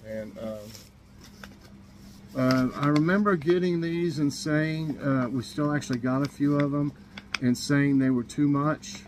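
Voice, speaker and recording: A man's voice: a little high, unhurried, background noise. A man in his fifties chatting casually to camera in a small kitchen, rambling with many ums and restarts as he shows off grocery buys. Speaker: vlogger showing a grocery haul.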